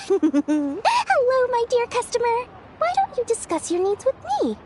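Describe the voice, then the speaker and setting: Super high, bouncy little merchant girl from a game, greedy and cheery, voice swoops all over. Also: huge pitch swings, measured pace, over music, exaggerated voice. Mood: cheery salesy. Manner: bubbly sing-song sales pitch. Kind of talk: video game dialogue.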